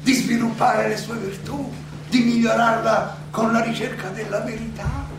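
An actor playing a defiant philosopher on trial in a theatrical monologue, declamatory and halting, with rhetorical questions, in an echoey, noisy recording. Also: male voice, extremely high pitch, fairly even intonation, over music. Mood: reproachful, exhorting. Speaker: stage actor and director. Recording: echoey hall, distant mic, clearly noisy.

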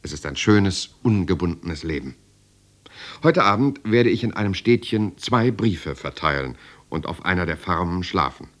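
An actor playing a reflective, solitary European writer, narrating his life in a measured, lyrical radio drama style. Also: low voice, expressive, fairly bright tone. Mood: contemplative. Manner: measured, lyrical, contemplative delivery. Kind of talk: literary first-person radio drama narration.

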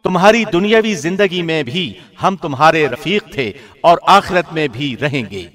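A man reading a scripture translation from a script in a formal, solemn radio presenter's style with deliberate enunciation. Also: fairly high pitch, animated, a bit bright. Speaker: translation reader, radio host.

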